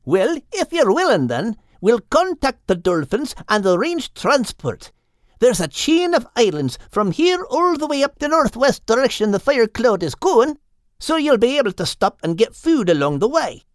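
A man's voice: very high-pitched, big pitch swings, moderate pace, no room sound, clean studio sound, voice pushed up. A man voicing a plainspoken male seagull with folksy, homespun speech in an audiobook. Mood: helpful. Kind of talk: audiobook character voice.

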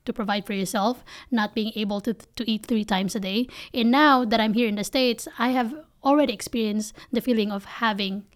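Female interview guest, Filipino accent, slightly high voice, candid and emphatic storytelling in a conversational style. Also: fairly even intonation, fairly quick, few pauses. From Philippines. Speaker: company founder and CEO, interview guest.

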